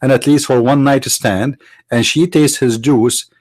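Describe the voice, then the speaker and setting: A man's voice: medium pitch, steady tone, unhurried, a little mellow, no room sound. Arabic-speaking guy ranting sarcastically, mid voice, dead dry mic. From Middle East. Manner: sarcastic, mocking rhetorical questions. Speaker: apologist speaker. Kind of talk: argumentative lecture.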